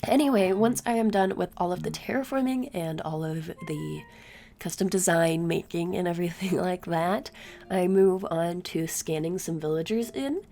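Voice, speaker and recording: Congested young woman, tired and gravelly. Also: on the low side, moderate pace, warm tone, faint music behind. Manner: chatty, rambling, tired. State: sick, congested, sore throat. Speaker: gaming YouTuber. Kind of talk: casual voice-over commentary. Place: home room. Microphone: desk mic, recorded at her chair.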